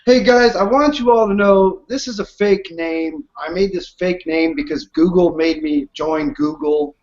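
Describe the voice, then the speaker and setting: A man's voice: high voice, a little slow, darkish tone. A man joining a video call as a guest, joking and chatty. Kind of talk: casual chat. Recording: dull call audio with faint noise and clicks.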